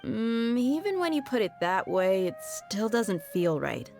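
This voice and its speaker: A woman's voice: mid-range voice, big pitch swings, unhurried, creaky, a little mellow. A woman playing a stern, protective bodyguard in an anime game, speaking in a firm, matter-of-fact way. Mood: reluctant, protective. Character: stern, dutiful female bodyguard, protective and wary. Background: background music.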